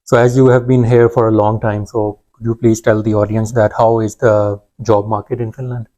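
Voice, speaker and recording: A man's voice: lowish voice, little pitch movement, normal speed, dark tone. A man hosting an interview, polite, with frequent murmured backchannels. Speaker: host.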